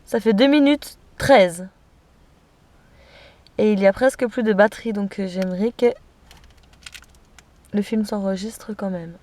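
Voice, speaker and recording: A woman's voice: mid-range voice, a little slow, pauses often, darkish tone, no echo. A young woman, tipsy and giggly, chatting playfully in a car at night. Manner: giggly, playful, repeats words. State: drunk. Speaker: vlogger. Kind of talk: tipsy casual chat.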